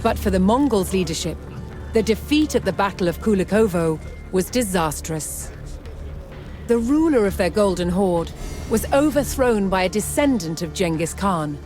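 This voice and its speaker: A woman's voice: mid-range voice, lively intonation, a little mellow. Woman narrating history, music underneath. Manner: measured, dramatic storytelling. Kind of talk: scripted documentary narration.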